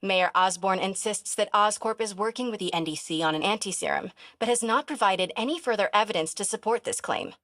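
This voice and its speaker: An actress with an American accent playing a brisk, teasing young newspaper reporter in a video game. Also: female voice, mid-range voice, animated, fairly bright tone, no room sound, clean studio sound, natural voice. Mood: formal reporting. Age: young adult. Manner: casual, quick-witted phone chatter.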